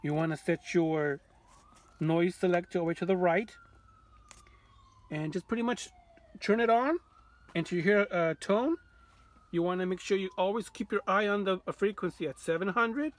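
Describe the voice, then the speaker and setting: A man's voice: sits high, normal speed, pauses often. A man giving an informal hands-on tutorial as a hobbyist, often repeating phrases as he explains. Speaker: radio repair hobbyist demonstrating.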